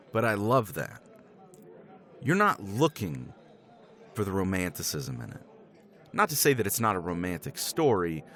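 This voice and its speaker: A man's voice: low-pitched, fairly bright tone. A man playing a tipsy middle-aged professor in a romance roleplay, rambling with chuckles and casual swearing. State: tipsy from scotch. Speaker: roleplay character.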